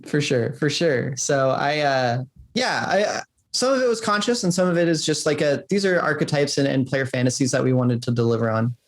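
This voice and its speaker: A man speaks in a casual interview as a game designer. His voice sits at a middling pitch, and he talks informally, feeling his way through sentences with plenty of filler words. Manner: informal, halting, frequent "like".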